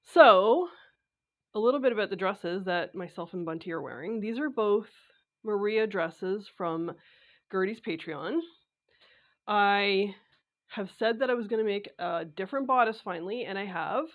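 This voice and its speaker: A woman vlogging about her sewing, her voice raspy and congested as she gets over a cold, chatting casually with lots of filler sounds and restarts. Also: medium pitch, measured pace. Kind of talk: casual talk to camera.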